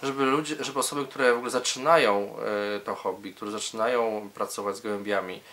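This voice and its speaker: A man's voice: lowish voice, fairly even intonation, fast talker, a bit bright. A man speaking as a medical specialist in an interview, explaining hesitantly and often repeating words as he searches for phrasing.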